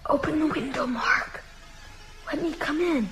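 A woman playing a coaxing, commanding undead vampire boy in a radio drama, slow and insistent. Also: high-pitched, over loud music. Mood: eerie coaxing.

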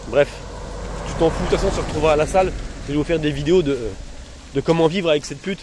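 A man's voice: fairly high pitch, fairly even intonation, fast. Slangy man in pain, noisy outdoors. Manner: slangy, rambling, talks straight to his followers. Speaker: vlogger. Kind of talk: casual vlog. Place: outdoors among trees and bushes. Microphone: phone held at arm's length. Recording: noisy outdoor phone recording. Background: outdoor ambience.

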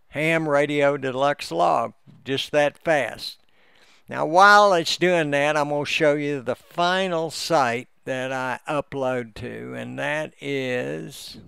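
A man talks through a computer task step by step in a casual, unhurried way. His voice is crisp and resonant with a creaky, gravelly edge, and it sounds close and dry. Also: on the high side, fairly bright tone, dry recording. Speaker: amateur radio hobbyist giving a software tutorial. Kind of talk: casual screen-recorded walkthrough.

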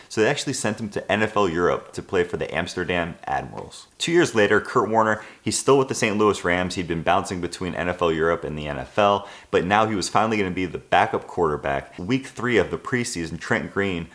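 Deep-voiced young man telling a sports story. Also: expressive, fast talker, hardly any pauses, a bit bright. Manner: conversational, with frequent "you know" fillers. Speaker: sports video host. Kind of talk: scripted sports storytelling to camera. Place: living room of an apartment.

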